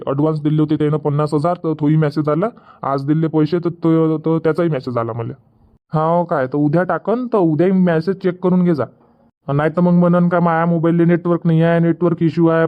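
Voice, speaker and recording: A man's voice: a little high, close to an everyday voice. A man playing plain-spoken village orange farmers in a cartoon comedy, chatting colloquially with a Varhadi Marathi accent from Vidarbha. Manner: colloquial, repetitive rustic chatter. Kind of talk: dubbed cartoon comedy dialogue. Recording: dull lo-fi audio.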